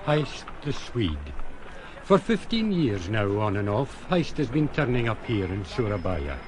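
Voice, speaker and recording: An actor playing a blunt, gossipy sea captain, narrating an audio drama in a wry, conversational way. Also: male voice, on the low side, very animated, measured pace, noisy background.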